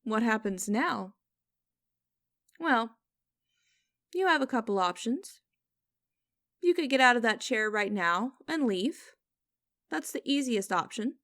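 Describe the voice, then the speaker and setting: A woman playing a cold, condescending female boss in an ASMR audio roleplay, speaking to the listener in a deliberate, commanding, mocking way. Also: on the high side, a bit bright, dry recording. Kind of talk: ASMR roleplay acting, direct address to listener.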